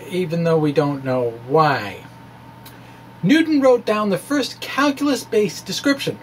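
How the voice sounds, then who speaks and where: High-pitched man reading slowly and theatrically. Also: a little hiss or hum, rough recording. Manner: theatrical, deliberate, emphatic. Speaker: presenter, science blogger. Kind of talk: dramatic reading of a physics blog with informal asides.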